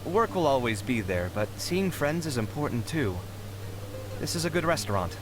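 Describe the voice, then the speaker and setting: An actor playing an earnest, theatrical and warm-hearted young architect in a video game. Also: male voice, medium pitch, big pitch swings, normal speed, background noise, lightly acted. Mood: friendly. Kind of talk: English game voice acting.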